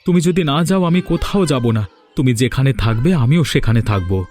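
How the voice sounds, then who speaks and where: A man's voice: medium pitch, lively intonation, brisk, darkish tone, natural voice. A man voicing an earnest young husband in a Bengali cartoon, speaking emphatically. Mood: pleading. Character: earnest young husband pleading with his wife. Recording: music behind the voice.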